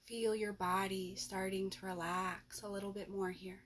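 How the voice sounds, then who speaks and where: A woman leading a guided yoga nidra relaxation as the instructor, with gentle, soothing instructions and long pauses. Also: medium pitch, little pitch movement, a little hiss or hum.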